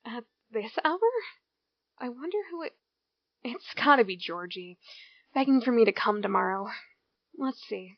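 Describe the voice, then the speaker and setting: A woman playing a bored small-town teenage girl, voicing her thoughts in a slow, inward way. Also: fairly high pitch, expressive, dry recording, muffled recording. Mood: wistful.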